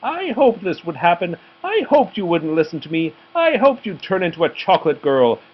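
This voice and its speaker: Man voicing a strict, menacing woman teacher, pitched high. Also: sing-song, moderate pace. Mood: gleeful menace. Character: strict, menacing woman health teacher, secretly chocolate-crazed. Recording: dull home recording, faint noise.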